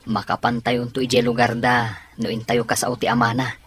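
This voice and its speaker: A man playing a plotting relative talking in confidence, with a husky voice, in an Ilocano radio drama. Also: medium pitch, monotone, normal speed, fairly bright tone. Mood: confiding.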